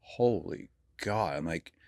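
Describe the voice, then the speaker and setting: A man's voice: deep voice, animated, slow, darkish tone, dry recording, clean studio sound. A man hosting a podcast in casual, blunt, conversational talk. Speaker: podcast host. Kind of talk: casual chat.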